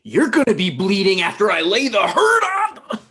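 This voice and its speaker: A man's voice: high voice, huge pitch swings, moderate pace, sharp tone, breathy edge, full character voice. A man in his thirties playing a needy, wounded, blustering dumped boyfriend in a table read over a video call. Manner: pleading, blustering. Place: indoor room on a video call.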